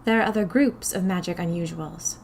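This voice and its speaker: A woman's voice: lowish voice, a little fast, a little mellow, a little hiss or hum, lightly acted. A woman voicing a timid, frightened young woman drawn into a magical society, questioning uneasily in first-person audio fiction. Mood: bewildered.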